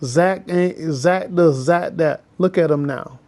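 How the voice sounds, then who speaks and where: A man's voice: fairly high pitch, slow, darkish tone. A man, telling his life story in a casual, preachy, emphatic vlog from his porch. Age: 50s. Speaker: vlogger and school bus driver trainer.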